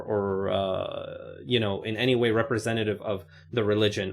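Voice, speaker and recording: A man hosting his channel, talking through his views on an interview in a loose, conversational way, with many fillers and a habit of saying okay. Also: medium pitch. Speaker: channel host reviewing an interview. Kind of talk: casual spoken commentary.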